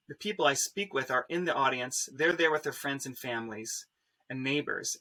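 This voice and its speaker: Bright-voiced man, higher pitched, speaking earnestly. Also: rough recording. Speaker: panelist, pianist-composer. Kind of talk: earnest spoken introduction on a panel.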